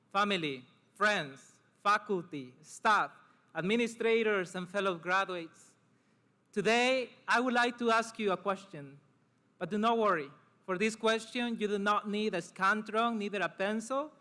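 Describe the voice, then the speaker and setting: Bright-voiced young man. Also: sits high. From El Salvador. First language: Spanish. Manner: earnest, measured, short phrases with pauses. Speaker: student commencement speaker. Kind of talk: formal prepared speech.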